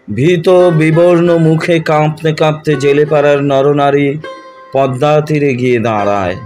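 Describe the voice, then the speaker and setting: A man reads a literary story in a measured, expressive, dramatic narration, drawing out his phrases. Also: a little high. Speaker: audiobook narrator. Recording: music behind the voice.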